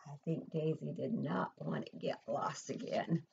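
A woman's voice: sits low, fairly even intonation, a little slow, vocal fry, darkish tone, muffled recording, slight background noise. An older woman, a preschool teacher, reading a picture book expressively to young children and asking simple questions, recorded indoors at home. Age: older, 60s or above.

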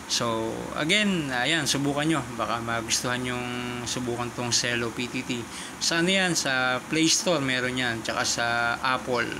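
A man's voice: mid-range voice, moderate pace, background noise. A Filipino man, native in Tagalog, talking casually and politely with hesitations as he demonstrates an app. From Philippines. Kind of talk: casual tutorial talk and radio-style chat.

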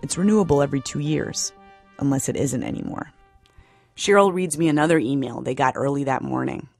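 A woman reporter narrating a radio documentary story in a measured, plain-spoken way. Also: extremely low pitch, creaky, darkish tone, dry recording, soft background music.

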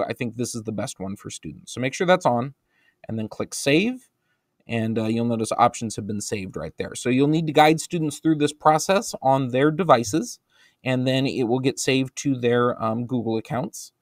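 A man with an American accent narrating a screen-recorded software tutorial for teachers, relaxed and explanatory, with frequent ums and uhs. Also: mid-range voice, dry recording, clean studio sound. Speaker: instructional technology trainer.

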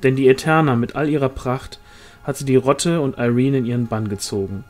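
A man's voice: medium pitch, normal speed, darkish tone. A man reading a fantasy novel aloud as scripted audiobook narration. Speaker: audiobook reader. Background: music.

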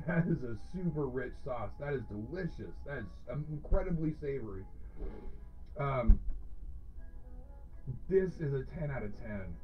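The sound is a little dull and lo-fi, like a webcam stream. A man in his thirties speaks at an average pitch. He chats casually to his viewers as a host, effusive and informal, often doubling up words for emphasis. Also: lively intonation, measured pace, pauses often, soft background music. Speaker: host of a hot sauce review show. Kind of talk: casual livestream chat to viewers.

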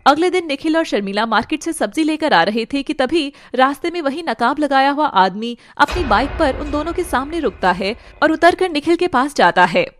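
A woman narrating an animated family drama in a brisk storytelling manner. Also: high-pitched, no room sound, faint music behind.

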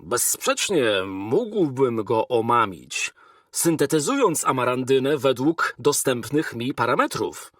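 A man voicing a male robot inventor who speaks to a king in a measured, formal, earnest way. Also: mid-range voice, a bit bright, slightly rough, no echo, clean studio sound, distant mic, full character voice.

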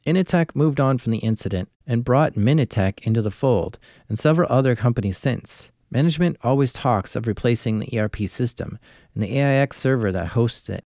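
A man reading a scripted horror story as narrator, in a grave, ominous and deliberate manner. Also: mid-range voice, expressive, dark tone, dry recording, clean studio sound. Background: music.

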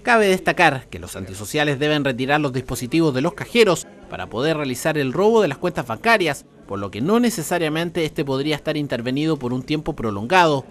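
Chilean guy reading a news report voiceover, voice a bit high. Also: sing-song, a little fast, hardly any pauses, a bit bright. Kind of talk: scripted news narration.

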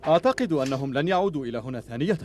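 An actor playing a practical, heroic fighter with a direct manner in a dubbed action cartoon. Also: male voice, fairly high pitch, animated, very fast, background noise, close to an everyday voice. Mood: urgent. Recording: loud music behind the voice.

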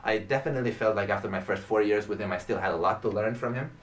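A man's voice: on the low side, fast. A young man from Romania, a native Romanian speaker, chatting casually and talking at length in an interview. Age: young adult. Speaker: podcast guest, classical guitarist. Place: small indoor room with curtain and shelves. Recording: distant microphone in a room.

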